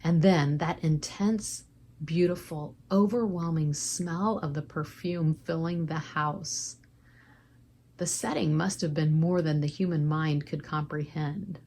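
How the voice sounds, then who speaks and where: Woman doing guided prayer meditation, lowish voice, gentle and unhurried, noticeable background noise.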